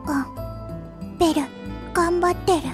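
A small, meek demon child in an anime radio drama. The voice is extremely high and slow, in halting childlike phrases. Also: female voice, steady tone, music in the background. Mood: shy, earnest. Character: tiny, meek, earnest little demon girl. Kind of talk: Japanese anime-style radio drama acting.

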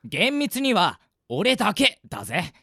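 Woman acting a goofy, lively teenage boy. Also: mid-range voice, unhurried, dry recording, studio quality, exaggerated voice. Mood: casual, boyish. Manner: teasing, boyish rough speech. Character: goofy, girl-crazy, cheeky teenage boy. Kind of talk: Japanese anime-style radio drama acting.

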